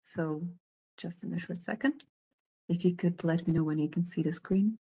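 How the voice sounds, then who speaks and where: A woman speaking as the host of a debate tournament, making formal announcements over a video call with a husky voice. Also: fairly low pitch, normal speed, dark tone, dull audio.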